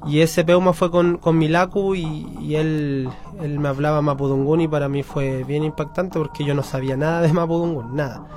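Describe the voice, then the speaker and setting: Guy on the radio, kinda high voice, talks slow and thoughtful with lots of pauses. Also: fairly even intonation, crowd noise. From Chile. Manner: reflective, pauses with frequent fillers. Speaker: guest. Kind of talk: radio interview, personal story.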